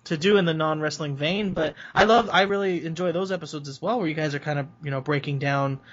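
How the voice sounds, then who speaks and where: A man's voice: a little high, fast talker, slightly rough, muffled recording. A man hosting a podcast, asking long rambling questions full of fillers and restarts. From US West Coast. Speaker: podcast host. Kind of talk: casual interview chat.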